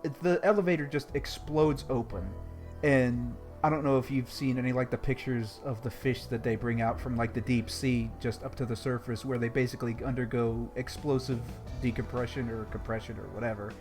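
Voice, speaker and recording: A man's voice: medium pitch, fairly even intonation, brisk, a little mellow, faint music behind. A man co-hosting a casual chat, retelling a scene in vivid, graphic detail. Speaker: podcast co-host. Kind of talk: casual chat recapping a visual novel.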